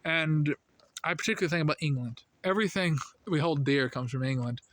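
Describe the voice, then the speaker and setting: A man's voice: fairly high pitch, a little fast, fairly bright tone. An American man giving casual religious commentary, earnest and rambling, full of fillers, his voice lifting when he makes a point. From United States. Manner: earnest, rambling, heavy with "you know" and "I mean" fillers.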